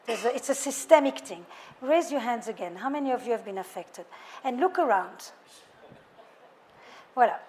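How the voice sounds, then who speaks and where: A woman's voice: a little high, lively intonation, moderate pace, pauses often, a little mellow. A middle-aged woman with a French accent, a couples therapist speaking to a live audience in a thoughtful way, piling up lists of words. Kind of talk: public talk to a live audience.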